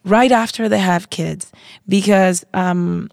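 A woman's voice: mid-range voice, fairly even intonation, takes it slowly, vocal fry, darkish tone, no room sound, studio quality. A woman musician from Cuba, explaining thoughtfully in a casual interview.

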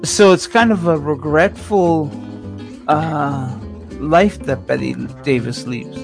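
A man's voice: a little high, slow pace, creaky. A man hosting a casual, conversational radio show. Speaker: host. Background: guitar music.

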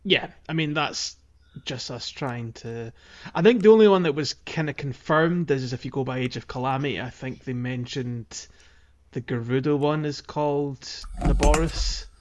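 A man's voice: mid-range voice, moderate pace, a little mellow. A man co-hosting a podcast, casually discussing video games in conversation with others. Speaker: podcast co-host.

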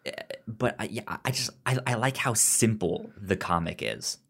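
A man's voice: on the low side, lively intonation, normal speed, gravelly, fairly bright tone, husky. A man speaking as a podcast co-host in casual discussion, often stuttering and repeating sounds and words as he goes. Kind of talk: casual discussion of comics and film.

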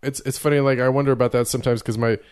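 A man's voice: medium pitch, flat delivery, racing, darkish tone, clear, resonant tone. A man, chatting casually and rambling, often restarting and chuckling as he goes. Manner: rambling, self-interrupting, chuckling. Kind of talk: casual chat.

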